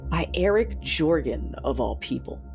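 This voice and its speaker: An actor playing a cruel, vengeful Sith lord, narrating an audio drama in a deliberate, commanding, menacing way with a husky voice. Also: mid-range voice, very animated, a little mellow. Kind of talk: first-person audio drama narration with dialogue. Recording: dramatic music behind the voice. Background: music.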